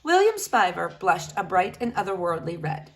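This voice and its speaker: A woman reading a children's novel aloud as expressive storytelling narration. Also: on the low side, normal speed, a little hiss or hum. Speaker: storybook reader.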